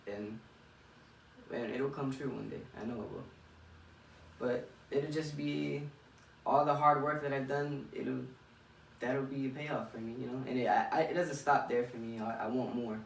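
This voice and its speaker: Young boxer in an echoey, distant recording. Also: male voice, mid-range voice, steady tone, moderate pace, creaky. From Miami, United States. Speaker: professional boxer being interviewed. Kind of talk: casual interview chat. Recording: echoey room, distant mic, faint noise.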